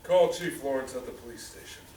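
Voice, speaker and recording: A man's voice: medium pitch, brisk, dark tone, close to an everyday voice. A man playing a brusque, stern boss giving curt orders to a subordinate in a radio drama. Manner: brusque, dismissive. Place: theatre stage, live performance. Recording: echoey distant stage recording, faint noise.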